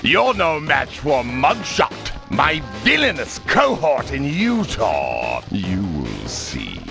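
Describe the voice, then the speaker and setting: A man's voice: mid-range voice, huge pitch swings, creaky, over music, exaggerated voice. A man voicing a pompous, aristocratic frog crime boss in a cartoon video game, theatrical and mock-polite, with an explosive temper. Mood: sullen gloating. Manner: theatrical, mock-polite, drawn-out, bursting into shouts.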